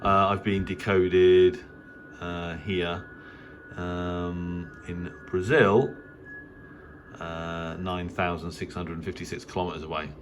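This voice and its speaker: A man's voice: low-pitched, fairly even intonation, slow, a little hiss or hum. A middle-aged man with an English accent gives a casual, unscripted tour in an understated, hesitant, rambling way. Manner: hesitant, understated, rambling delivery with trailing asides. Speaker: amateur radio hobbyist giving a tour. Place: indoor home radio shack.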